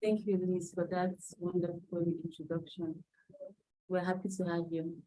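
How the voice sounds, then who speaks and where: A woman hosts an online panel in a somewhat low, warm, mellow voice. She introduces the event and the speakers, pausing on frequent "um"s as she goes. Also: level tone, low-quality recording. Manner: filler-heavy, with frequent "um"s. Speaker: moderator from the host firm. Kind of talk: webinar moderation.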